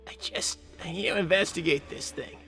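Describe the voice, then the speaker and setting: A man voicing an excitable young male fox, an earnest, chatty inventor sidekick who stammers and rambles in live role-play. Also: high voice, moderate pace. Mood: puzzled. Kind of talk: improvised in-character livestream role-play. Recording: long reverb, music behind. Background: music.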